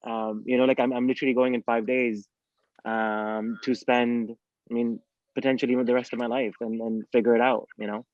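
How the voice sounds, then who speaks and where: A man's voice: mid-range voice, flat delivery, brisk, no room sound. A man speaking as a panel guest, eager and rambling with lots of fillers. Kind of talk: casual panel chat. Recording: dull lo-fi audio.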